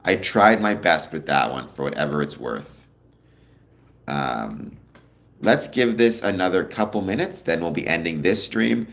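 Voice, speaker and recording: A young man hosting a casual livestream, answering viewer comments in a relaxed, rambling way with a deep voice. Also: unhurried, vocal fry. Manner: relaxed, rambling, many ums, answers chat questions. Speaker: livestream host.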